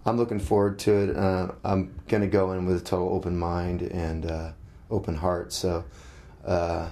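A middle-aged musician being interviewed, talking casually with many halting restarts. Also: male voice, low voice, little pitch movement, dark tone.

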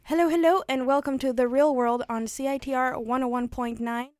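A woman's voice: high voice, steady tone, a little fast, a little mellow. A young woman from Argentina hosting a casual radio chat, talking with lots of likes and ums and laughing often. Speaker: radio host. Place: radio studio.

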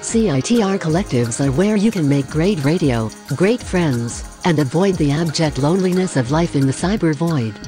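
A woman's voice: sits low, expressive, normal speed, few pauses, music in the background. A woman announcer reading a scripted, tongue-in-cheek radio promo.

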